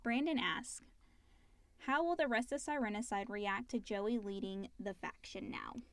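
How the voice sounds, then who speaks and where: A woman's voice: medium pitch, a little slow, no echo. A woman co-hosting a casual podcast, reading out listener questions and chatting about them.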